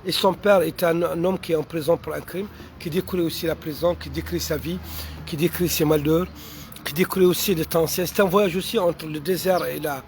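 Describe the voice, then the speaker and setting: A Mauritanian writer with an accent, French not his first language, answering audience questions in a halting way with many fillers and restarts. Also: fairly high pitch, steady tone, a little hiss or hum. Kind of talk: unscripted answers to online questions.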